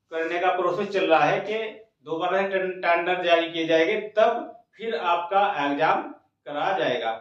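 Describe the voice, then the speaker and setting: A man presenting an informal recruitment news update, explaining things step by step and speaking directly to viewers. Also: high-pitched. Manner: explanatory, repeats points, addresses viewers directly. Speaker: host of a small exam-news channel. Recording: very echoey room, distant mic, dull sound.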